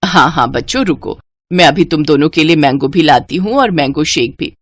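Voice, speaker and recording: A woman voicing a gentle, older widowed mother in a cartoon, calm and soothing. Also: sits low, moderate pace, slight background noise, pitched below the performer's own voice. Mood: kind. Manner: calm, consoling.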